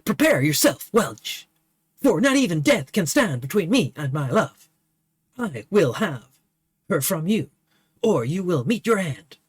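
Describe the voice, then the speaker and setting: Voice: mid-range voice, sing-song, a little slow, slightly rough, dry recording. A reader playing Burnett, a lovestruck swordsman in a stage tragedy, declaiming in bombastic, archaic speech. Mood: threatening. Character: grief-stricken lovestruck swordsman, theatrical.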